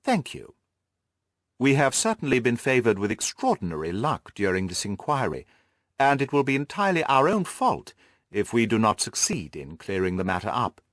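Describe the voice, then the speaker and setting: A man acts out a keen detective for an audiobook. His speech is lively and swooping in pitch, precise and courteous, full of self-assurance, and the sound is close and dry. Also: moderate pace, dry recording. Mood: courteous, decisive. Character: brisk, confident male detective, keen and courteous. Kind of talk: audiobook character dialogue.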